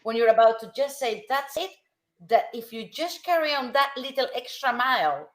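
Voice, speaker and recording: A woman from Germany, co-hosting a casual discussion, speaking emphatically and slowing down to stress key words. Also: a little high, lively intonation. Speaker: co-host. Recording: roomy video call audio.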